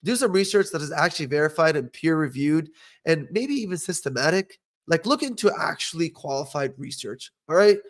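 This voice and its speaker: A man's voice: a little high, lively intonation, fairly quick. A young man who studied dentistry, hosting a casual podcast and giving blunt, opinionated advice with frequent "okay?" check-ins. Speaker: podcast host, dental professional. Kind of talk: casual educational podcast talk.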